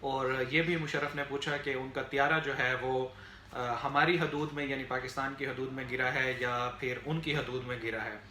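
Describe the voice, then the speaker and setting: A man with a Pakistani accent giving unscripted political commentary to viewers in a halting, explanatory way, with frequent filler phrases. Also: mid-range voice, fairly even intonation, pushed and bright. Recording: echoey room, faint background noise.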